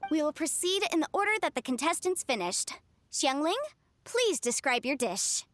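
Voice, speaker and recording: A woman voicing an excitable, theatrical cooking contest announcer in an anime-style video game. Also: sits high, moderate pace, a little hiss or hum. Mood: official, brisk. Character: excitable, officious female contest host.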